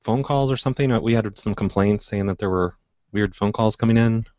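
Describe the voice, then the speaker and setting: A man with a fairly low voice hosts a prank call show, talking casually and making things up with mischievous, feigned innocence. The audio is dry and a bit muffled. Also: brisk, a little mellow, dry recording. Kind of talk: casual improvised prank calling.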